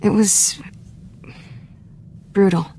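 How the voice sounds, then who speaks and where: A woman's voice: very slow, warm tone, a little hiss or hum. A woman playing a defiant, wounded young Jedi apprentice in a video game, candid and earnest. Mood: haunted confession. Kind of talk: scripted video game dramatic acting.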